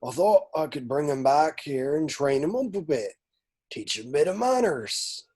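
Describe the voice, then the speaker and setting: A man playing a gruff, soft-hearted half-giant gamekeeper in a script read, folksy and protective. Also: on the high side, expressive, normal speed. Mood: fond, coaxing. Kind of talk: table read of a film script.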